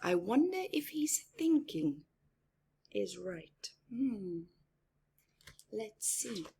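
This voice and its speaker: Female picture-book reader for young children: expressive and dramatic, breathy edge, lots of pitch movement, hushed asides. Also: drawn-out words. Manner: theatrical, emphatic, dropping into whispers; asks young listeners questions and comments on pictures. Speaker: storyteller for children.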